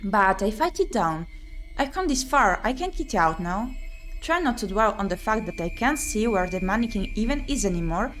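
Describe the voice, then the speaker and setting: A woman's voice: medium pitch, animated, moderate pace, a bit bright, low-quality recording. Dramatic woman reading a game over music. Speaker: VTuber streamer playing a visual novel. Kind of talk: expressive read-aloud of visual novel text with playthrough commentary.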